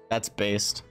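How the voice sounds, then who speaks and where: A man livestreaming a video game, giving casual, offhand commentary and reading dialogue aloud. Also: a bit bright. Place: indoors, streaming room. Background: game music.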